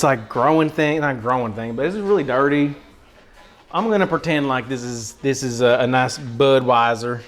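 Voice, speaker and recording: Man putting on a folksy, higher-pitched country guy. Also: lightly acted. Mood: folksy, musing. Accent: put-on American country, Southern. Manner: drawling, folksy, rambling, exclamatory. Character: folksy, excitable American countryman, beer-loving and goofy. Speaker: live streamer cooking for chat. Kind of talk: casual chatty livestream.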